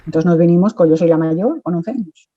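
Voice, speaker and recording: A woman's voice: lowish voice, brisk, breathy edge, no room sound, dull audio. A woman from Mexico, a guest in a casual interview, chatty and talking in long run-on stretches.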